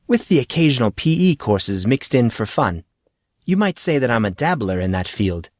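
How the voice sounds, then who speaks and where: A man voicing a brisk, formal, wry male schoolteacher with pompous, precise wording in an audiobook. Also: mid-range voice, big pitch swings, a little mellow, no echo, clean studio sound, close to an everyday voice. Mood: coolly unconcerned.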